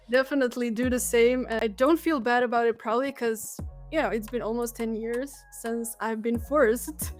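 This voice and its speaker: A young adult woman, a language teacher, explaining things in a lively way and laughing easily in a casual interview. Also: a little high, moderate pace, soft background music. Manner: lively explainer, laughs while telling anecdotes. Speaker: Swedish teacher, guest.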